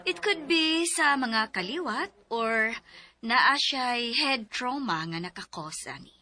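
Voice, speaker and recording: A woman acting a composed doctor in a radio drama, speaking with a Cebuano accent in a deliberate, clinical manner. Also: fairly high pitch, animated, sharp tone, full character voice. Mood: composed, serious. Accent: Cebuano Filipino. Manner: deliberate, clinical, mixes in English terms. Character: composed female doctor explaining a child's diagnosis.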